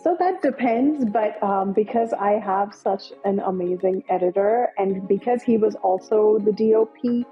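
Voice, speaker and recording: A woman's voice: mid-range voice, unhurried, hardly any pauses, dull audio, faint music behind. A woman film director from Nepal, speaking as an interview guest in an upbeat, enthusiastic way with many fillers. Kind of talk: casual interview.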